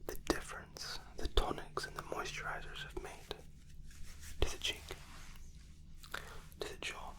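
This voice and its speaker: A man performing a whispered ASMR spa roleplay as a therapist, gentle, soothing and softly reassuring. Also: unhurried, long pauses, rough recording.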